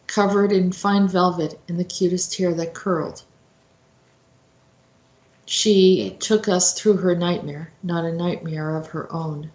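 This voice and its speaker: A woman giving an informal explanatory talk as a host, in a halting, reflective manner. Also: lowish voice. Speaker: host commentator. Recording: roomy, echoey sound.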